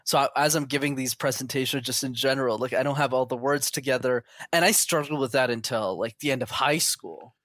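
A man's voice: medium pitch, fairly quick, few pauses, fairly bright tone, no room sound. Man chatting candidly, close dry sound. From Montreal, Canada. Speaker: interview guest. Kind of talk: casual conversational storytelling.

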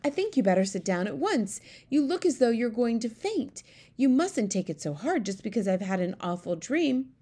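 A woman's voice: fairly high pitch, very animated, moderate pace, warm tone, pitched above the performer's own voice. A woman voicing a regal, commanding queen in a storybook, exclamatory and aristocratic in her phrasing. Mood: sharp, concerned. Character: well-known queen, regal, commanding, easily horrified older woman. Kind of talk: storybook character voice.

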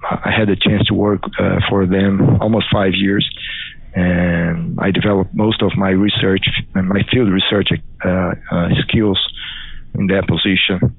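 A man's voice: sits low, little pitch movement, unhurried, gravelly, breathy edge, no echo. A middle-aged man from Brazil with a Brazilian Portuguese accent, speaking English as a second language and answering interview questions with many fillers and restarts. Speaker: guest, crop scientist. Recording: dry phone-like audio.

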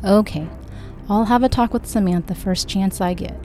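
A woman's voice: medium pitch, normal speed, mellow, soft background music, lightly acted. A woman voicing an anxious, protective grandfather who is a private investigator in an audiobook, with terse questions. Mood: serious.

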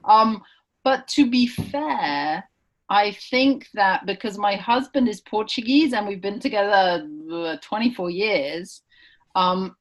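A woman from Cambridge, England, talks as a guest in a relaxed conversation. She is chatty and laughs easily, with a voice at an ordinary middle pitch. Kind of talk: casual chat.

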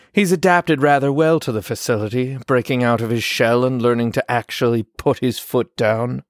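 A man plays a watchful doctor in a horror audio drama, dictating case notes. He is measured, formal and clinically detached, recorded very dry with a mid-pitched voice. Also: no echo, lightly acted.

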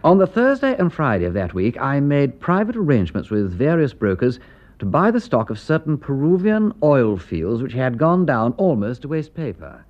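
An actor playing a breezy, wry, upper-class English gentleman detective with a drawling, flippant manner in a classic radio drama. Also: medium pitch, very animated, moderate pace, muffled recording, close to an everyday voice. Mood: reading aloud. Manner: drawling, flippant, old-fashioned gentlemanly slang.

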